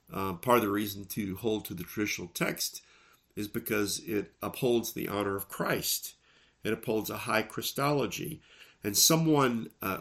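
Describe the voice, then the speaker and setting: Man, gravelly voice, recovering from illness, fairly low pitch, earnest, emphatic. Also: fairly bright tone. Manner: earnest, measured, emphatic on key words. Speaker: podcast host and preacher. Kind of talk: solo podcast commentary on Bible texts.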